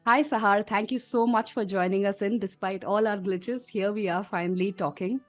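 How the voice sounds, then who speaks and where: A woman's voice: medium pitch, steady tone, moderate pace, faint music behind. A woman hosting a podcast, reading a polished intro and then interviewing a guest. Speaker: podcast host, coach.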